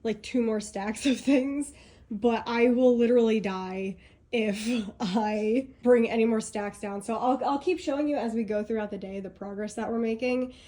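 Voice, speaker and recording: Booktuber woman, 30s, stuffy and congested, casual and chatty with frequent laughs, slightly high register. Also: moderate pace, mellow. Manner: chatty, rambling, laughs often. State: congested, feeling sick. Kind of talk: casual chat to camera. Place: home, sorting bookshelves indoors.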